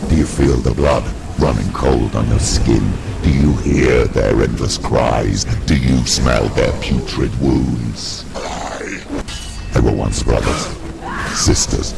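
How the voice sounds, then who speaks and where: An actor playing a menacing dark spirit in a video game, taunting and accusing in a deep gravelly growl. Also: male voice, heavily acted. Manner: slow, accusing, taunting rhetorical questions. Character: menacing dark spirit taunting a guilt-ridden warrior woman. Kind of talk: dramatic video game voice acting. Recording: loud music under the voice.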